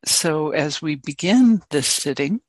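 A woman teaches guided meditation in a fairly low voice, very slowly. Her gentle phrases are short and set apart by long silences, captured in a dry, close-sounding recording. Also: animated, no echo, rough recording.

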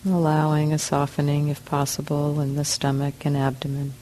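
A woman leads a guided meditation, speaking slowly in short phrases with long pauses. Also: sits low, monotone, mellow, a little hiss or hum, low-quality recording. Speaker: meditation teacher. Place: meditation center hall.

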